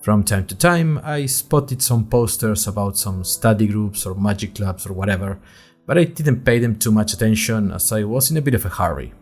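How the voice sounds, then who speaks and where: A man acts as a chatty young male college student telling his story for a fiction podcast. His voice sits fairly low but swings in a lively, expressive way. He is wry and a little nervous, with dramatic emphasis over background music. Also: normal speed, natural voice. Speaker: narrator of an audio fiction podcast. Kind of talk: scripted first-person storytelling, diary-like.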